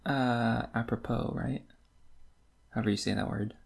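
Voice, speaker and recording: Creaky-voiced man in his twenties. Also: mid-range voice, steady tone, moderate pace. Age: 20s. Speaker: podcast co-host. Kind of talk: casual book discussion chat.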